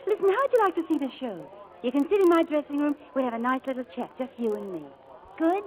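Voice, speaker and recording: High, lilting, kindly showgirl. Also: lively intonation, quick pace, low-quality recording. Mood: bright, coaxing. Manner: bubbly, coaxing, gently reassuring. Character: kind, chatty, motherly young showgirl, protective and lively. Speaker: radio actress. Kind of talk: 1940s radio drama acting. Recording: old radio broadcast recording.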